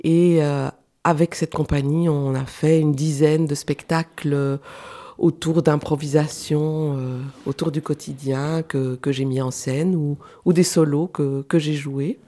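Low, mellow-voiced Swiss-born woman, halting. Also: fairly even intonation. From Switzerland. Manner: thoughtful, halting, many fillers. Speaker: guest, clown and actress. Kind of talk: radio interview. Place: radio studio.

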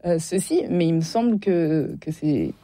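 A woman guest in a studio discussion, thoughtful and measured, reflecting as she talks. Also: low voice, animated, dark tone, no echo.

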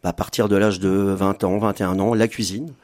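A man's voice: sits low, steady tone, a little fast, darkish tone. A man in his fifties, a restaurant owner and chef, talking in a radio interview with a hesitant manner full of fillers and restarts. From France. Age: 50s.

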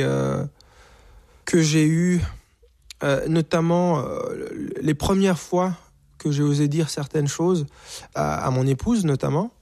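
Man from Rwanda, slightly high voice, gravelly, dry close sound, halting reflective speech. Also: a little mellow, no room sound. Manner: halting, searching for words, frequent repeated words. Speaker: guest, singer and author. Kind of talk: reflective interview answers.

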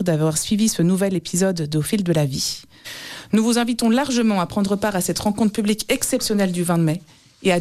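A woman's voice: on the low side, a little mellow. Formal woman radio host. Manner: measured, formal. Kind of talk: radio presenting.

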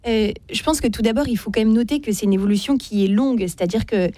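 Woman, radio debate, measured explanations with hesitations, warm tone, dry close sound. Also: on the high side, no echo. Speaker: debate guest, philosophy teacher.

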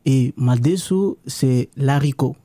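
Very dark-voiced man, halting. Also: mid-range voice, no echo. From DR Congo. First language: Lingala. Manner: halting, many restarts and fillers. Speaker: guest, association member.

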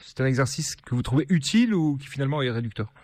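A male radio interviewer asks his questions haltingly, pausing on fillers as he builds each one. The studio sound is very dry, with no room echo, and his pitch is ordinary for a man. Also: animated, darkish tone.

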